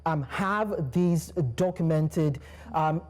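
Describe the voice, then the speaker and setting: Woman host, very low voice, mellow, polite, lots of ums. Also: slow pace. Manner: polite, frequent fillers. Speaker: news show host. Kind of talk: studio panel discussion.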